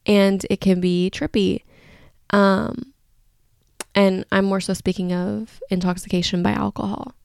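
A woman's voice: medium pitch, little pitch movement, unhurried, vocal fry, a little mellow, clean tone, dry recording, studio quality. A woman hosting a podcast solo in a casual, chatty, rambling and candid way, with a slightly groggy voice. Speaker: podcast host.

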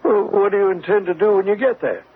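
An actor playing a detective's genial, puzzled gentleman companion in an old radio play, stammering and fussy, with a husky, creaky voice. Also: high voice, fast talker. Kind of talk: old-time radio drama acting. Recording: tinny, band-limited old radio recording.

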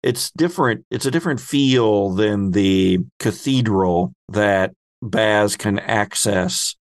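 A man narrating a tabletop role-playing game as game master, describing scenes in measured phrases with pauses. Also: on the low side, no echo, clean studio sound.

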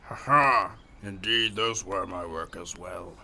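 A man plays a grand, testy old wizard in an audio drama. His low voice is very forceful and sharp-edged as he declaims pompously, with faint noise behind him. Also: takes it slowly, heavily acted.

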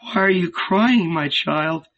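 Man doing an old woman, stern mother, harsh scolding, raised voice, bright tone. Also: on the high side, slow pace, creaky, muffled recording, voice pushed up, full character voice. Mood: gentle.

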